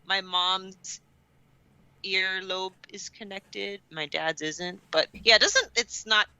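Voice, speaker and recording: A woman's voice: medium pitch, slow pace, bright, forceful tone, clear, resonant tone, dry recording, rough recording. A woman co-hosting a podcast in casual chat, with a self-deprecating, exclamatory way of talking. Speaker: podcast co-host.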